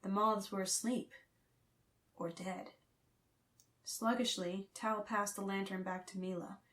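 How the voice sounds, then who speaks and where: A woman's voice: medium pitch, fairly even intonation, slow, a bit bright. A woman reading fiction as an audiobook narrator, in a scripted, dramatic storytelling style.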